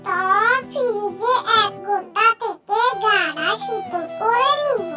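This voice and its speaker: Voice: very high-pitched, slow pace. A performer with a childlike voice voicing a pushy fox who demands favours in a children's cartoon. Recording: distant voice under loud children's music.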